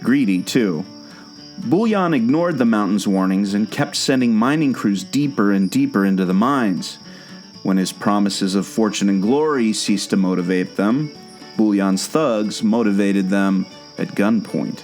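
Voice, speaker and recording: A man's voice: fairly low pitch, lively intonation, a little slow, darkish tone, soft background music. A man playing a knowing, patient guide who tells park legends in a scripted audio drama. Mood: knowing, mysterious. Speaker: storytelling guide.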